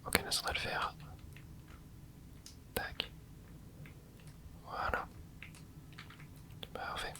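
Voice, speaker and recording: A man's voice: very slow, long pauses. A man whispering gameplay commentary. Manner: soft whispering, casual gamer slang, talks to himself while playing. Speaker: ASMR gaming channel host.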